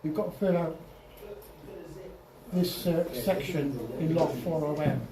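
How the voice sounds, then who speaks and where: A man giving an informal software tutorial over slides as a club presenter, halting and often repeating or restarting his phrases. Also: fairly high pitch, vocal fry, a crowd in the background. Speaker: presenter at an amateur radio club. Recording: roomy, distant mic, faint noise.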